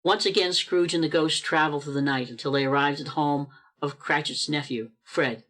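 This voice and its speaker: Male storybook-style narrator for a radio play, fairly high pitch, expressive scripted delivery. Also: fairly quick. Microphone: video call.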